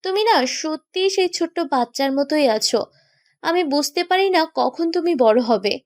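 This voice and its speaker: A woman acts as a petulant young bride in a romantic audio drama. Her voice is high and girlish in pitch. She needles and reproaches, stubborn and bossy with her husband. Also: expressive, measured pace. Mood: petulant, insistent. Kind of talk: romantic audio story acting.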